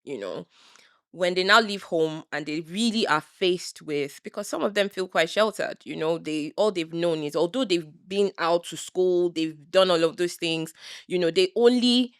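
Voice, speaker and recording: A middle-aged woman with a Nigerian accent, co-hosting a casual podcast chat, animated and full of "you know"s. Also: on the low side, normal speed, no echo, clean studio sound. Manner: animated, restarts phrases, frequent "you know"; public speaker. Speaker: podcast co-host.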